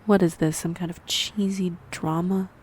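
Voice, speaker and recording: A woman playing a snide, predatory vampire in an audio roleplay, mocking and teasing, with a breathy voice that slips into whispers. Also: on the low side, fairly even intonation, moderate pace, a little mellow. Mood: scornful, mocking. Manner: mocking, teasing, theatrically menacing. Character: snide, predatory female vampire toying with prey. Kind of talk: audio roleplay acting addressed to the listener.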